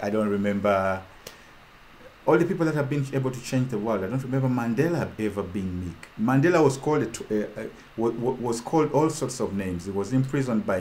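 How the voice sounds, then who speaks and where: A man's voice: on the low side, a little mellow, a little hiss or hum. A man from Uganda hosting a casual talk with an online audience. He speaks with fervent, repetitive rhetoric and trails off with ums. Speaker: host.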